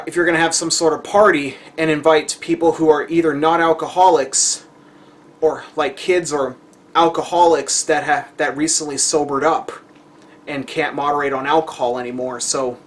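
A young man casually presenting a how-to cooking video to the camera as its host. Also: on the high side. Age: young adult. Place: home kitchen, indoors.

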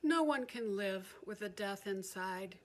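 Slow, solemn older woman reading poetry. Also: medium pitch, animated, slight background noise. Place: indoors on a video call.

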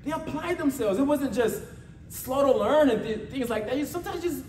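American guy preaching kinda informally, high pitched voice, urging folks on, repeats himself, echoey room recorded from a bit away. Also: expressive, fairly quick, darkish tone. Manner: exhortative, conversational, repeats phrases and seeks agreement. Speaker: church ministry leader preaching a sermon. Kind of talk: informal motivational sermon with call-and-response. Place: church meeting room with responding congregation. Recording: echoey room from far off, faint noise.

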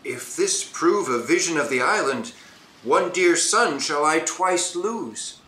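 A man's voice: fairly high pitch, expressive, slow pace, bright, forceful tone, a little hiss or hum, full character voice. A man playing a grieving, repentant king and father in a Shakespearean radio play, speaking with earnest formality. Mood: hopeful, joyful. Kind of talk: Shakespearean audio drama acting. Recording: roomy, echoing home recording.

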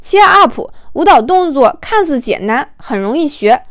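A woman narrating a comic romance novel as an audiobook in an expressive, colloquial storytelling manner. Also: high-pitched, a little slow, no echo, studio quality.

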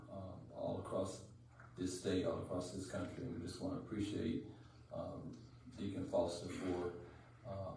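A city councilman giving measured tribute remarks, pausing often on fillers. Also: low voice, dark tone, slight background noise. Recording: echoey chamber from far off.

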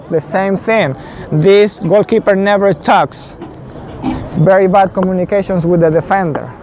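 Slow-speaking man, English not his first language. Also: high voice, darkish tone. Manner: slow, deliberate, frequent "okay" fillers. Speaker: football tactics host. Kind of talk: unscripted tactics explainer at a whiteboard. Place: outdoors in a city square. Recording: faint outdoor city noise.

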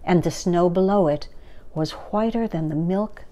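A woman in her sixties reading a children's story aloud with gentle, measured, deliberate phrasing, recorded indoors at about arm's length. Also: lowish voice, slight background noise. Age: 60s. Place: small furnished living room, indoors.

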